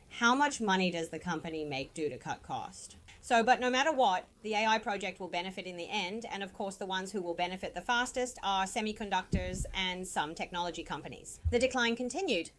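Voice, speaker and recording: Woman analyst explaining market news. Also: medium pitch. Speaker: investment analyst. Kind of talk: market commentary on a talk show.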